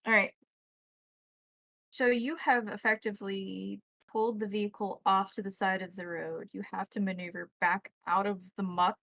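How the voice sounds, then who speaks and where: A woman's voice: mid-range voice, fairly even intonation, moderate pace, creaky, pushed and bright, dry recording. A woman narrating a tabletop roleplaying game as the game master, describing scenes to players.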